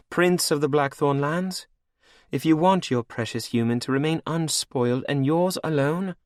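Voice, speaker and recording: A man's voice: fairly high pitch, no room sound, clean studio sound, voice pushed up. A man voicing a mocking, imperious vampire queen. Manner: sing-song, mocking, imperious. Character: cruel scheming vampire queen stepmother, female. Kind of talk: audiobook character voice.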